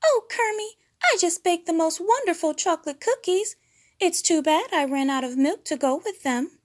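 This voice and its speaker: Cartoon storybook girl pig, high-pitched, vain, dramatic, huffy, shrill. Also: animated, normal speed. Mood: gushing, coaxing. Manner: shrill, indignant and theatrical.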